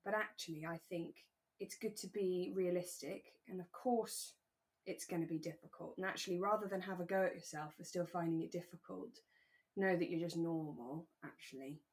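A woman co-hosting a podcast, talking in a relaxed, earnest and reassuring way. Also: on the low side, moderate pace. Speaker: podcast co-host. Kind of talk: relaxed discussion of a radio drama.

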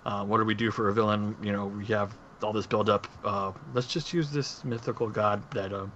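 Man, low and fairly flat voice, casual podcast co-host, muffled lo-fi recording. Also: a little fast. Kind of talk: casual movie-discussion chat. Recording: dull lo-fi audio with faint noise.

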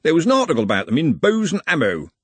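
A man's voice: fairly high pitch, huge pitch swings, quick pace, slightly rough, no room sound, pitched above the performer's own voice, exaggerated voice. A man voicing a big, touchy, suspicious guard in a storybook, blunt and colloquial. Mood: wary, baffled.

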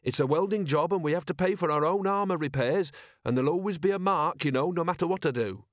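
A man's voice: on the high side, expressive, moderate pace, no echo, clean studio sound, pitched above the performer's own voice, close to an everyday voice. A man voicing a sour, aggrieved zombie police constable in an audiobook, grumbling and complaining as he talks. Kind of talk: audiobook character voice.

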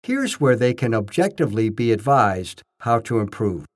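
A man's voice: medium pitch, sing-song, normal speed. A man reading scripted first-person narration, telling his own experiences as a narrator. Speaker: narrator, bodybuilding judge.

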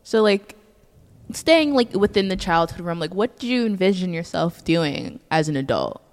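A woman's voice: fairly low pitch, very animated, normal speed. A young woman co-hosting a student podcast, chatty and full of fillers. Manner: chatty, filler-heavy, giggly. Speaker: co-host. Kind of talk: casual podcast chat.

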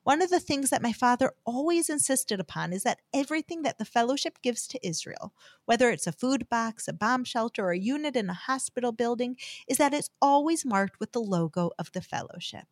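A woman's voice: on the high side, expressive, fairly quick, no echo, clean studio sound. An American woman giving a heartfelt, scripted devotional talk that she tells as a personal story. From United States.

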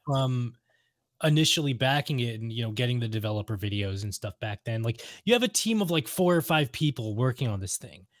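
A man with an average-pitched voice talks casually as a podcast co-host. He rambles enthusiastically and circles back to repeat his points. The sound is dry and close. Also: lively intonation, a little fast, few pauses, no room sound. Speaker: podcast co-host discussing video games and lore. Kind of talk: casual chat.

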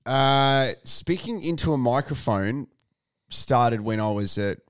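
A middle-aged Australian man with a booming voice, chatting as a podcast guest in an animated, jokey way. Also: slow pace, smooth, no room sound. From Australia (Tasmania). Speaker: guest, sports announcer. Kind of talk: casual podcast chat. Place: podcast studio.

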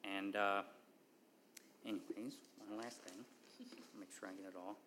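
A man with a somewhat low voice speaks earnestly about his faith, breaking off and restarting his phrases. A faint hiss of background noise sits under the recording. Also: fairly even intonation, a little slow, sharp tone. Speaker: church member giving testimony. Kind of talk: personal testimony.

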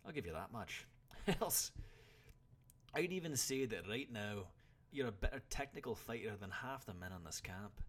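Man acting a graying old warrior, fantasy audiobook, stern mentor, blunt, exasperated, gravelly, animated pitch. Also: normal speed. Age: older. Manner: blunt, scolding.

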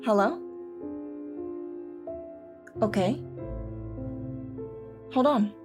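A woman plays an affectionate young wife and mother in an audio drama. Her voice is fairly high and sweet, with a caring delivery over prominent background music. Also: extremely slow. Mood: friendly.

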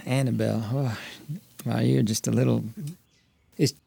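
A man's voice: mid-range voice, level tone, moderate pace, vocal fry, warm tone, no room sound. An actor playing a taciturn, guarded farm man of the 1890s in a period drama, speaking tersely and hesitantly. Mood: awkward, subdued. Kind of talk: period film drama acting.

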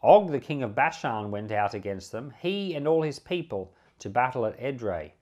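A man with a medium-pitched voice reads scripture aloud. His delivery is measured and solemn, yet his intonation moves widely, giving the narrative an expressive lift. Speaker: Bible podcast host and reader.

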